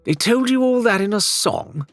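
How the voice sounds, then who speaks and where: Man voicing a sneering, animated pirate man. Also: very high-pitched, moderate pace, soft background music, pitched above the performer's own voice. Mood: sceptical. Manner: sarcastic, sneering. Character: sceptical, scornful, greedy male pirate.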